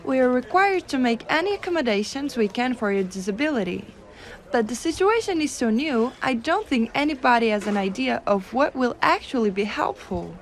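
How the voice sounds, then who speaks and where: A woman playing a gentle, doting, subtly dominant giantess secretary in an intimate ASMR roleplay, reassuring and softly teasing the listener. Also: on the high side, very animated, moderate pace. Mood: helpful, lightly teasing. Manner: soft, reassuring, teasing, coaxing.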